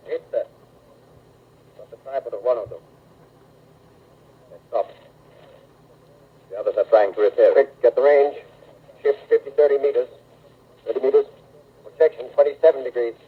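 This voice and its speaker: A man's voice: mid-range voice, fairly even intonation, moderate pace, long pauses, husky, lightly acted. A male actor playing a terse army gunner in a radio drama, with clipped military call-outs. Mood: tense, watchful. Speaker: artillery crew. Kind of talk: 1930s radio drama acting. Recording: muffled, scratchy 1930s radio broadcast.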